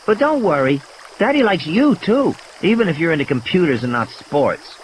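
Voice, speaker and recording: A man's voice: fairly high pitch, sing-song, normal speed, a little hiss or hum, pitched above the performer's own voice, poor audio. A man voicing a stubborn, bratty little girl with a petulant, taunting manner in an audiobook drama. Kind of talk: audiobook character acting.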